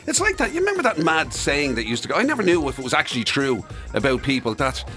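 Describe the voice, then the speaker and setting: Bright, animated Irish man. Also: on the high side, quick pace, soft background music. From Ireland. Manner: chipping in, teasing his co-host. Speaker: podcast co-host. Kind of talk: casual comic banter.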